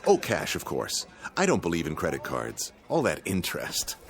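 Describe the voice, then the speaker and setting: A man's voice: deep voice, wildly swooping pitch, moderate pace, faint music behind, full character voice. An actor playing a pompous, penny-pinching man with overly polite, formal speech in a radio drama. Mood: polite, smug. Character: fussy, pompous, penny-pinching male freeloader.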